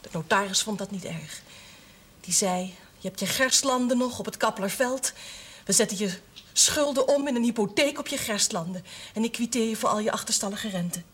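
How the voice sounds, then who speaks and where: A woman's voice: medium pitch, moderate pace, slightly rough, natural voice. An actress playing an earnest young former novice burdened with her family's business, in a television period drama. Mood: earnest, confiding.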